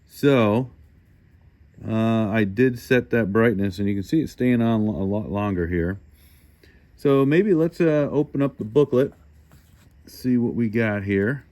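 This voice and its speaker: Low-pitched man, casual gadget walkthrough. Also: measured pace, dark tone. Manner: informal, unscripted, thinking aloud. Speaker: host of a hobby radio review channel. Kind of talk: casual hands-on product walkthrough.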